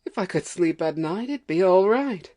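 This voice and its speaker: Woman acting low-voiced, fretful poor farm wife. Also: lively intonation, normal speed, mellow, close to an everyday voice. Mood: weary, complaining. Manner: folksy rustic dialect, fretful. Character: overworked, nervous, complaining poor farm wife. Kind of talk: amateur audio play acting.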